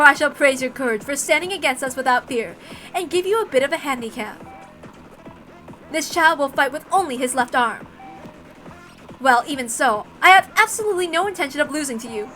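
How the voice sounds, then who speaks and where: Villainous witch, played by a woman: high, bright, mocking, condescending, cackling storybook grandeur. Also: expressive, faint music behind. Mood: smug, gloating. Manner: haughty, mock-polite, drawn-out explaining with cackling laughter.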